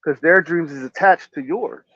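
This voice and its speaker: Emphatic male host, preachy and repetitive, fairly high voice, lo-fi dry recording. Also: moderate pace, fairly bright tone, no room sound. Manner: preachy, emphatic, repeats phrases. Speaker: podcast host. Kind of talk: motivational casual talk. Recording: dull lo-fi sound.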